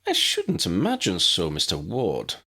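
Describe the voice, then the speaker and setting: A man voicing a stern, elderly head teacher woman in an audiobook, brisk, formal and wry in manner. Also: low voice, wildly swooping pitch, pushed and bright, breathy edge, full character voice. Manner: proper, schoolmistressly, pronouncing.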